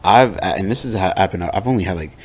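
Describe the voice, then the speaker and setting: A man's voice: low voice, fast talker, a little hiss or hum. A man in his twenties, co-hosting a podcast, telling joking, slangy stories in casual chat. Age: 20s. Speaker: podcast co-host.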